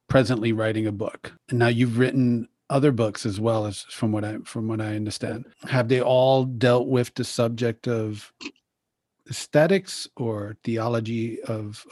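A man hosts the interview, mid-pitched and deliberate as he lays out long questions. His voice sounds close and dry, with no room around it. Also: darkish tone, dry recording. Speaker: interview host.

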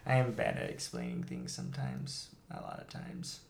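A man's voice: a bass voice, sing-song, normal speed, creaky. A young man co-hosting a podcast, chatting casually and animatedly, jumping between tangents and laughing often. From Filipino family background. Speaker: podcast co-host. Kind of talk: casual chat.

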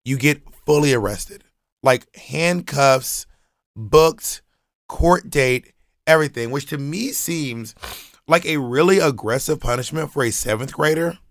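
A man's voice: medium pitch, unhurried, fairly bright tone, dry recording, studio quality. A man, chatting casually on a podcast and telling loose, rambling stories with slang. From Georgia, US. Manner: loose, rambling storytelling with frequent restarts and slang. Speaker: podcast co-host.

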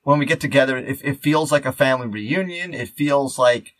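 A man's voice: mid-range voice, brisk, a bit bright, no echo. A man hosting a podcast in casual chat, rambling and often interrupting himself mid-sentence. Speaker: podcast host.

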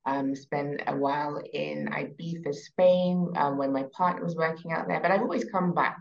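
A woman with a London accent, in her thirties, chatting as a podcast guest in a relaxed way with many ums and soft trailing endings. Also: low voice, normal speed, creaky, muffled recording. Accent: British, London. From England. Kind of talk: casual podcast interview.

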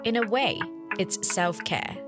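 A woman hosting a podcast, giving measured, reflective scripted narration. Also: medium pitch, music in the background. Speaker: podcast host.

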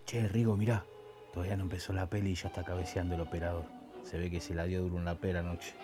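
An actor with an Argentine Rioplatense accent playing an irreverent slacker who bluffs as a film expert, full of chummy, rambling slang in a comedic radio show. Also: male voice, low voice, little pitch movement, quick pace, lightly acted. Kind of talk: comedic radio theatre banter.

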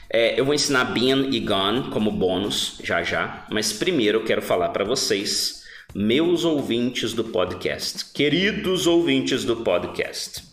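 A man's voice: mid-range voice, fairly bright tone, roomy sound. A man hosting a casual podcast lesson as an English teacher, chatty and keeps checking in with his listeners.

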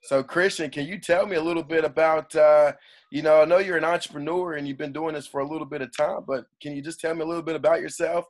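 A man's voice: on the high side, fairly even intonation, fast. A man hosting a podcast, introducing his guest in a loose, rambling way full of "uh" and "you know". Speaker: podcast host. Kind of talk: casual podcast interview.

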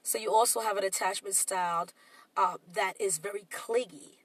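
A woman's voice: mid-range voice, fairly even intonation, normal speed, breathy edge, no echo, recorded from a distance, rough recording. A woman co-hosting a casual talk show, sounding congested and nasal, who explains her points at length and reflects on her own experience. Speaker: podcast co-host. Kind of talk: casual chat.

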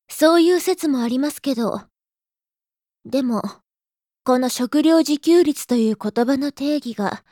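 A woman's voice: sits high, a little mellow, no room sound, studio quality. A woman playing a prim know-it-all little sister in an audio drama, lecturing her hapless older brother in polite, exasperated speech. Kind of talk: Japanese audio drama acting.

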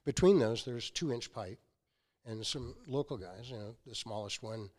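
A man's voice: medium pitch, unhurried. A man in his sixties, a company founder, telling stories as a guest and acting out the quotes in them. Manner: animated storyteller acting out quotes. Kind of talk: casual storytelling.

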